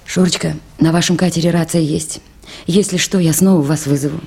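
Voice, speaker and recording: A woman's voice: low-pitched, fairly even intonation, a little fast, creaky, warm tone, husky, full character voice. An actress playing a composed, dutiful young woman river-station worker in a radio play. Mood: earnest, resolute. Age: young adult. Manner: composed, earnest. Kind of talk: 1980s Soviet radio play acting.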